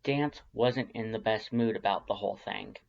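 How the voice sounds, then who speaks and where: A man's voice: lowish voice, moderate pace, vocal fry, highs cut off. A man reading scripted fan fiction narration as a storyteller.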